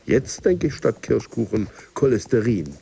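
Brooding man with wide, gravelly intonation. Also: medium pitch, big pitch swings, normal speed, warm tone, a little hiss or hum. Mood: wry. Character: stressed office man sent to workplace fitness coaching. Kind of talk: radio play monologue, fragmented inner narration.

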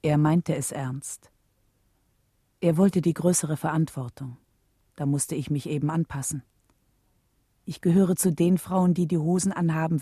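A woman playing a reflective, devoted wife who narrates her memories in a German radio drama, with a husky, mellow, measured delivery. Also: lowish voice, no echo, studio quality.

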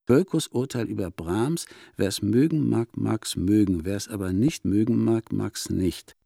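An actor playing an enthusiastic, literary traveller reading out his letters in a radio play, with animated, precise diction. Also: lowish voice, a little slow, vocal fry, dry recording, clean studio sound. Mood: wry, genial.

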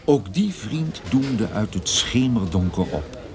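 A man acting as a wry, world-weary private detective narrating a radio drama in a dry, measured, husky way. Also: on the low side, expressive. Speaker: narrator and lead actor in a radio play.

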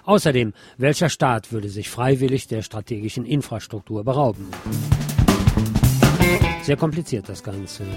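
A man's voice: medium pitch, moderate pace, pauses often, over loud music. A man hosting a radio news programme, reading reports and adding his own commentary. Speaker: radio host.

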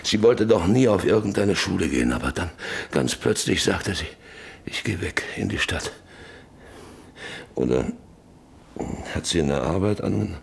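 A troubled middle-aged farmer haunted by guilt, in a radio drama. His voice sits low and gravelly. He speaks haltingly, circling back on his own words. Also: expressive, exaggerated voice. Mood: haunted, reflective. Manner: halting, repeating himself, half-murmured reminiscing. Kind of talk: German radio play acting.

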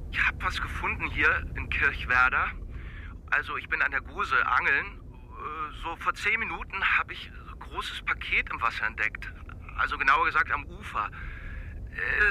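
A man acting a hesitant ordinary caller reporting to police in a radio play, halting and correcting himself. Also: on the high side, sharp tone, slight background noise, low-quality recording. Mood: uneasy.

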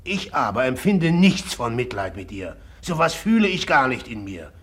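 A man's voice: medium pitch, sing-song, moderate pace, breathy edge. An actor playing a jealous, tormented young man in a 1950s German radio drama, brooding and bitter with sudden agitated outbursts. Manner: brooding, swings from whispering bitterness to bursts of agitation.

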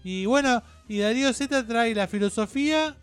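An Argentine man co-hosting a radio show in rambling, opinionated chat, halting, digressing and repeating himself. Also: extremely high pitch, smooth, faint music behind.

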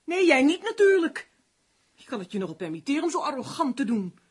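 An actress in a radio drama playing a tense, sharp-tongued crime novelist who is haughty and demanding. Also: female voice, high-pitched, sing-song, a little fast. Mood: furious.

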